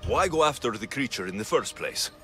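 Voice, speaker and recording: An actor playing a stoic ancient Greek mercenary hero in a video game, with a blunt, dry-witted delivery. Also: male voice, mid-range voice, very animated, a little fast, over music, natural voice. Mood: challenging. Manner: wry, blunt, resolute.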